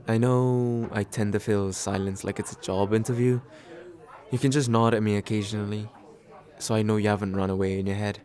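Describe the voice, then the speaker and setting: A man playing a nervous, kind guy on a second date, speaking haltingly and reassuringly in an ASMR comfort roleplay. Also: lowish voice, close to an everyday voice. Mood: gently reassuring. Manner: hesitant, soft-hearted, self-deprecating, halting with small pauses. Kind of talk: ASMR comfort roleplay, acted as casual chat.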